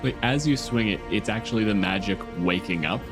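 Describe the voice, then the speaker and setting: A man's voice: fairly low pitch, fairly quick. A man acting as game master, narrating and refereeing a tabletop game in conversational speech. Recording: music playing under the voice.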